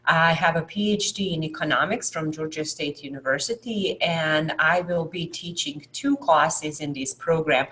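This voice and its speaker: A woman's voice: sits low, a bit bright. A woman who is an associate professor, giving a prepared informational presentation.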